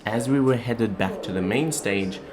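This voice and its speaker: A man's voice: on the low side, moderate pace, loud music behind the voice. A man talking casually as a vlogger, in a chatty, plain-spoken way. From South Africa. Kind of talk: casual vlog talk and voice-over narration. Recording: clearly noisy, ambient background sound.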